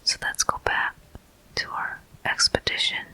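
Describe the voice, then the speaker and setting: A whispering game host giving soft, deliberate ASMR commentary with frequent pauses, close to the microphone. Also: low-quality recording. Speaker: host of gameplay walkthrough.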